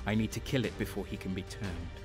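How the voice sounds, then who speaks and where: An actor playing a grim, battle-worn traitor soldier, narrating in terse, intense first person for an audio drama. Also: male voice, fairly low pitch, fairly even intonation, a little fast, music in the background, natural voice. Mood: grim resolve.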